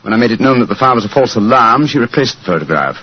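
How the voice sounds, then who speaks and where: An actor playing an assured, courteous gentleman detective with a precise manner, in 1940s radio drama style. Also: medium pitch, animated, fast talker, slightly rough. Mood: assured, explaining. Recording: dull vintage radio recording.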